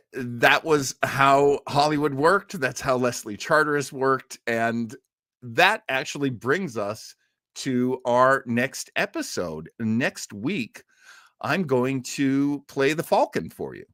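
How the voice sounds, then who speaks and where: A man hosting a live listening show, telling stories in a casual, informative way with emphatic phrasing and dramatic pauses. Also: medium pitch, a bit bright, dry recording, studio quality. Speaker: host of a live old-time radio listening show. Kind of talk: casual informative storytelling to an online audience.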